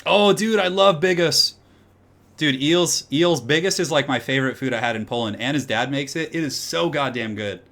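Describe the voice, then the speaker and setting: A man casting a strategy game tournament live and chatting with viewers, his slightly raspy voice getting hoarse, with excitable loud outbursts. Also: fairly high pitch, moderate pace. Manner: excitable, breaks into loud exclamations.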